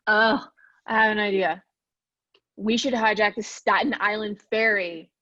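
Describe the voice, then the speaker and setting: A woman's voice: mid-range voice, fairly even intonation, unhurried, bright, forceful tone, no room sound. An actress playing a flighty, chatty young woman in a screenplay table read, with casual conversational delivery. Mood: excited. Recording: dull, dry remote call audio.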